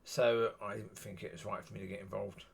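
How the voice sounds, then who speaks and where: Husky, gravelly man musing aloud. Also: on the low side, level tone, brisk. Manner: musing, repeats yeah often. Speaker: solo video talker. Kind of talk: rambling solo monologue.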